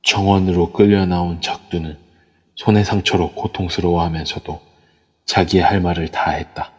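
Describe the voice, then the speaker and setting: Man, narrator, very deep, husky, long reverb, audio drama narration. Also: little pitch movement, moderate pace, a little mellow. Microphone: phone via a voice chat app.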